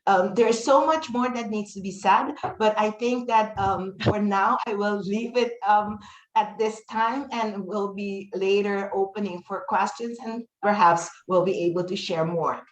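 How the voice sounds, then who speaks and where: A woman in her fifties with Papiamento as her first language, speaking earnestly on a webinar panel with frequent pauses. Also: mid-range voice, echoey room, rough recording. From Dutch Caribbean. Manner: earnest, fluent, many "um" pauses. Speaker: webinar panelist, teacher trainer.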